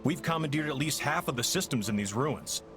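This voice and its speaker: A man's voice: a little high, lively intonation, fairly quick. Smug male commander, music behind. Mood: cool, explaining. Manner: articulate, condescending. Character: smug, calculating male commander, coolly in control. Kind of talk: video game voice acting.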